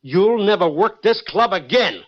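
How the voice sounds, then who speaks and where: An actor playing a brusque, fed-up nightclub manager in an old radio drama, stern and emphatic. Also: male voice, high-pitched, animated, unhurried, a little hiss or hum. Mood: indignant. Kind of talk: 1940s-50s radio suspense acting. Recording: dull old radio recording.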